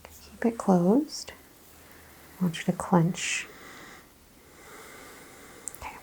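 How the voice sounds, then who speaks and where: A woman speaking softly and breathily, often in a whisper, giving gentle, reassuring instructions as a chiropractor in an ASMR roleplay. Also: lowish voice, expressive, extremely slow, slight background noise, rough recording. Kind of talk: ASMR, soft-spoken clinical roleplay exam.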